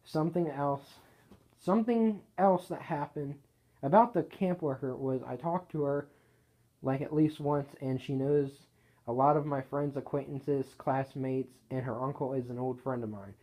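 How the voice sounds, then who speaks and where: A man reading his own written story aloud in a casual way, halting and correcting himself as he goes. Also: medium pitch, mellow, poor audio.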